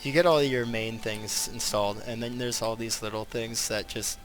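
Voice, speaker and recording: A man giving casual live video game commentary as a streamer, rambling and thinking aloud with halting pauses. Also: mid-range voice, steady tone, a bit bright, soft background music, poor audio.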